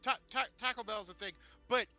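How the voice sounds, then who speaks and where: A man co-hosts a casual discussion show with a high, extremely bright and forceful voice. He argues emphatically, repeating and stammering over words as he builds a point. Faint music sits behind him. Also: a little fast, muffled recording. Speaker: show co-host. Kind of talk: animated casual opinion and discussion.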